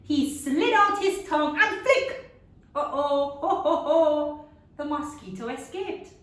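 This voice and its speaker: A woman with a high voice reads a children's story in a very reverberant room, some distance from the microphone. Her delivery is dramatic and expressive, made for young listeners. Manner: expressive, dramatic, with drawn-out sound effects and questions to listeners. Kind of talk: animated read-aloud storytelling for young children. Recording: very echoey room, distant mic.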